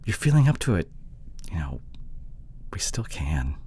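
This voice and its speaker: A man playing an apologetic, insecure boyfriend in an intimate audio drama, speaking haltingly with sighs and breathy murmurs. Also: sits low, big pitch swings, dark tone, exaggerated voice. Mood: tender, longing. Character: apologetic, pleading long-distance boyfriend, insecure young man. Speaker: audio roleplay voice actor.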